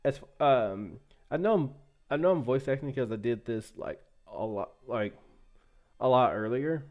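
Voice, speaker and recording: A young man with a deep voice reads a visual novel aloud on his gaming channel. He breaks off into playful, joking asides. His pitch is average for a man. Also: lively intonation, unhurried, phone-quality sound. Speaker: gaming YouTuber.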